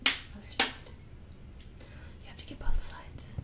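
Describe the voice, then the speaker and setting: A young woman whispering softly and unhurriedly into a close microphone in a fantasy ASMR roleplay. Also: measured pace. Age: young adult. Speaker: ASMR video host. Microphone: close studio microphone on a stand.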